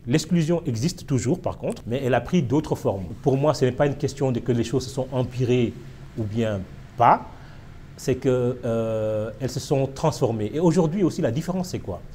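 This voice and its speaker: A man's voice: mid-range voice, normal speed, warm tone, slight background noise. A man from Senegal, a historian, answering interview questions in a reflective way with frequent fillers and hesitations. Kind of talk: casual interview.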